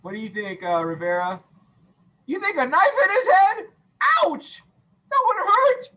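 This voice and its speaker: A man reading a children's story aloud as a teacher, playful and expressive, with acted sound effects and questions to listeners. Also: very high voice, a little slow, rough recording.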